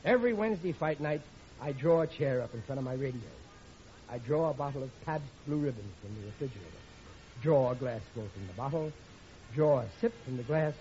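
A man's voice: medium pitch, lively intonation, brisk, dark tone, lightly acted. An American man acting a blustery, put-upon working-class father in a 1940s radio sitcom, exclaiming and grumbling in colloquial speech. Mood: jovial. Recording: noisy, dull old radio recording, audience behind.

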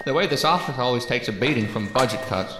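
A man's voice: mid-range voice, expressive, fast, music in the background, natural voice. An actor playing a wry, flirtatious federal agent in his seventies in an audio drama, with playful, theatrical delivery. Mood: wry, reflective. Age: 70s. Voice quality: creaky.

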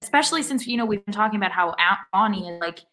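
A woman's voice: mid-range voice, expressive, fast talker, pushed and bright. A woman talking casually as a soccer fan on a podcast, in a questioning, frustrated manner. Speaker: podcast co-host, sports fan.

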